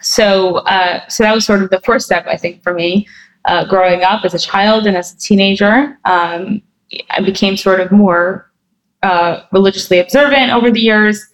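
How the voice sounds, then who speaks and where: A woman guest in her thirties, from New Jersey, talks through her answers in a relaxed interview. Her pitch sits mid-range for a woman, and she thinks aloud with frequent fillers and self-corrections. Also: normal speed, rough recording. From New Jersey, USA. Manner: thoughtful, many fillers and restarts.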